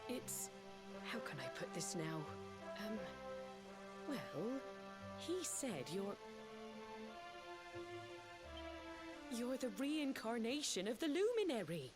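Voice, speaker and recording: A woman's voice: on the high side, very animated, slow pace, pauses often. A woman playing a fond, fussing middle-aged village mother with a British accent in a video game, in a folksy, plain-spoken way. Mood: hesitant, earnest. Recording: game dialogue over background music.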